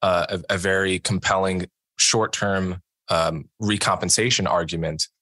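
A man's voice: deep voice, steady tone, a little slow, sharp tone, no room sound. A man arguing his side in a formal debate, pointed and argumentative. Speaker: podcast host and debater.

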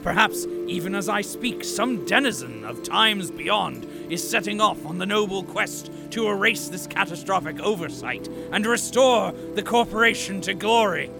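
Pompous, contemptuous corporate orator: very gravelly, highish male voice, theatrical audio drama over prominent music. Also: animated, normal speed, few pauses. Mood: solemn, mournful.

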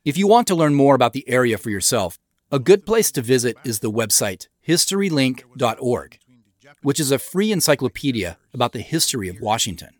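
Guy doing director's commentary, scripted n explanatory, lively intonation, close dry mic no room sound. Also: medium pitch, fairly quick, no room sound. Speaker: show creator and commentator.

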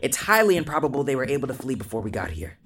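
Snappy, sardonic investigator. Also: female voice, extremely low pitch, sing-song, rapid-fire, a little hiss or hum. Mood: brisk, dry. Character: sharp, impatient, sarcastic young investigator. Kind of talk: fantasy audio drama acting.

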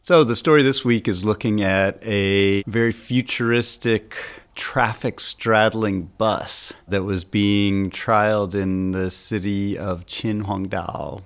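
A man speaking as a guest editor, explaining a news story in an informal, plain-spoken, conversational way. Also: deep voice. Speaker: senior editor, interviewed guest.

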